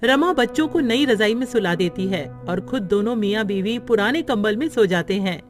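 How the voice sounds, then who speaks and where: A woman's voice: mid-range voice, expressive, normal speed, over music. A woman narrating a scripted cartoon story in a storybook style.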